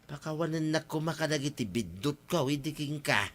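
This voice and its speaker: An actor playing a wheedling village husband in a radio drama, with an Ilocano accent and an emphatic, pleading delivery. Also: male voice, on the high side, animated, moderate pace, fairly bright tone. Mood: earnest, contrite. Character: wheedling village husband, a drinker seeking credit.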